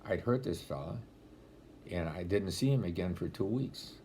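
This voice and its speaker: Elderly man, deep voice, low-pitched, unhurried homily, faint room hum, close webcam. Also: expressive, warm tone. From Rochester, New York area. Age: 80s. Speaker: deacon. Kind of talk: homily-style video talk. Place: home room, indoors. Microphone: laptop webcam at close range.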